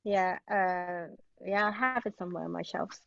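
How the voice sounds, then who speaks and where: A woman's voice: on the low side, moderate pace, gravelly, highs cut off, a crowd in the background. A woman from Hong Kong chatting casually as an interview guest, her talk full of "like" and "you know". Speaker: interview guest, playwright and translator. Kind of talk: casual conversation.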